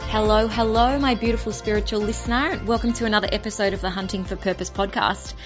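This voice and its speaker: A woman with an Australian accent, hoarse and raspy from a cold with a blocked nose, hosting a solo podcast episode in an earnest way, often repeating words as she finds her thought. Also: medium pitch, steady tone, fast talker, lo-fi sound, over music. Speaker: podcast host and spiritual business coach.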